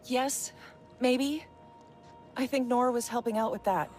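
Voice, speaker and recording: Game casting: actress as a strained woman medic, higher register, anxious and clipped delivery. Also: steady tone, unhurried, darkish tone, soft background music, natural voice. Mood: worried, stretched thin. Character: strained, overwhelmed female field medic. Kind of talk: video game character acting.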